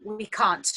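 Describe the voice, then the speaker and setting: A woman's voice: mid-range voice, sharp tone, husky, full character voice. An actress playing an anxious but determined woman on the run in a stage drama, speaking in a theatrical, insistent way. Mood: nervous, resolute. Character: anxious but determined woman fleeing a flooded underground shelter. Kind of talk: dramatic stage-play dialogue.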